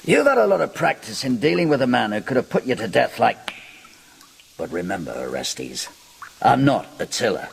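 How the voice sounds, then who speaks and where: An actor in a radio drama playing a powerful, coolly menacing Roman kingmaker, measured and sardonic. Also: male voice, mid-range voice, sing-song, gravelly, a little hiss or hum. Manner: measured, sardonic, veiled threats.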